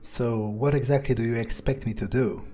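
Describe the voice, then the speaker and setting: Man playing a dark-voiced, wry young vampire. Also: medium pitch, fairly even intonation, lightly acted. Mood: probing. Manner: hesitant, musing, informal with deliberate pauses. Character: wry, cocky male fledgling vampire, casually sceptical. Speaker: gamer role-playing as his character. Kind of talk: improvised in-character game playthrough.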